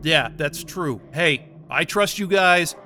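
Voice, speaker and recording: High, tense, pliant male captive. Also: slow, bright, forceful tone. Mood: agreeable, compliant. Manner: over-eager, suggestible. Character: brainwashed male captive, pliant, eager and dazed. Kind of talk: audio drama acting.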